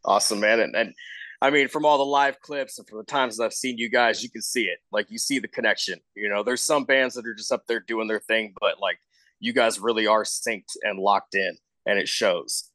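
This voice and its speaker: Co-host, male, American accent. Low voice, dry acoustic, informal, encouraging interview style. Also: moderate pace, pushed and bright, no echo, poor audio. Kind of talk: casual interview chat.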